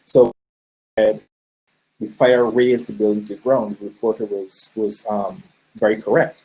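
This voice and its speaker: A man's voice: on the low side, fairly even intonation, moderate pace, dark tone, rough recording. A man with a Barbadian accent giving a live online presentation, talking casually and telling stories with frequent ums and uhs. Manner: conversational, storytelling with many fillers. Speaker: webinar presenter.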